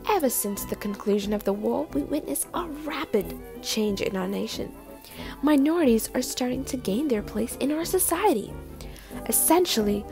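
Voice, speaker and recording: A high, creaky-voiced woman commentator of 1920s radio, acted out by a woman speaks over clear background music. She is earnest and formal, declaiming a prepared speech to the nation.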